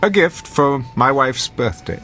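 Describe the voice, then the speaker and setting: An actor playing an arrogant, cynical drama critic in a radio drama, haughty and articulate. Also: male voice, medium pitch, lively intonation, measured pace, pushed and bright, faint music behind. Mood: droll, condescending.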